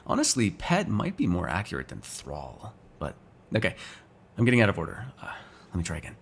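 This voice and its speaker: A man's voice: low-pitched, huge pitch swings, a little fast, gravelly, a little hiss or hum, heavily acted. A man playing a sardonic, teasing, foul-mouthed vampire in an ASMR roleplay, talking casually to one listener. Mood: explaining, sardonic. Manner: casual, teasing, sarcastic, swears freely.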